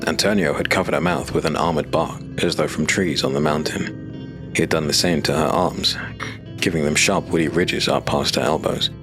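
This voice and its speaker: Deep deep dude narrating a dark fantasy audiobook, steady pace, music in the back. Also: male voice, normal speed. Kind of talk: scripted narration.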